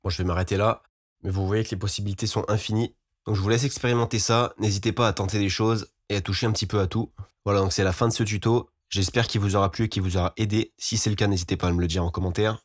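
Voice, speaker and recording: A man giving an informal, explanatory software tutorial as a voice-over. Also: fairly low pitch, fairly even intonation, quick pace, no room sound, clean studio sound. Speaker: music production tutorial host.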